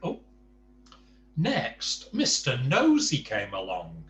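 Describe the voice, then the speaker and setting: A man's voice: medium pitch, huge pitch swings, slow, echoey room. A man reading a children's bedtime story aloud, adding chatty asides to the listener.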